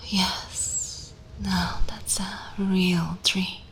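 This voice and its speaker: A woman voicing a dominant, sensual vampire mistress in an ASMR fantasy roleplay, commanding and savouring, often slipping into soft breathy whispers. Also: mid-range voice, little pitch movement, drawn-out words, slight background noise. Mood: fascinated, hungry. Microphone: close microphone.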